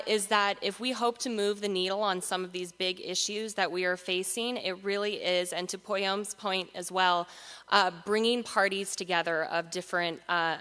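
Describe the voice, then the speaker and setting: Bright, clear woman in an echoing hall. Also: lowish voice. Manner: earnest, many "uh" fillers between phrases. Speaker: panel speaker for a regional nonprofit. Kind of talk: prepared talk at a live event. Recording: long hall reverb.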